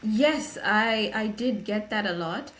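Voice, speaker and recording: A young woman from Tanzania answering interview questions, measured and pausing often with fillers. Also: mid-range voice, expressive, fairly bright tone. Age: young adult. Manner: measured, hesitant, many filler pauses. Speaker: guest, gender equality advocate.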